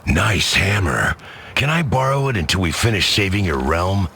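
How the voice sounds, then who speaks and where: A man's voice: sits low, sing-song, normal speed, gravelly, sharp tone, slight background noise, exaggerated voice. A man playing a brash vigilante hero in a video game, casual, cheeky and dry. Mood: wry.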